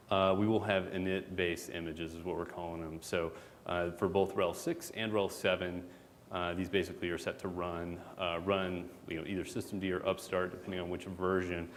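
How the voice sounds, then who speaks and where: A man giving a relaxed, joking conference talk as a product manager, full of fillers. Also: deep voice. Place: conference hall. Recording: hall reverb, faint background noise.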